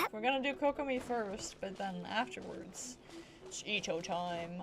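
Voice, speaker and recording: A woman giving casual live gameplay commentary as a streamer, playful and rambling, with excited exclamations. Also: medium pitch. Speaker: game streamer. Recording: game music behind voice. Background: video game music.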